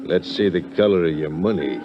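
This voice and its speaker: An actor playing a gruff, grasping sea captain with salty sailor talk in a 1950s radio drama. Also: male voice, low-pitched, lightly acted. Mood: sly bargaining. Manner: slow salty sailor talk, hard bargaining. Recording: muffled, slightly noisy old radio recording.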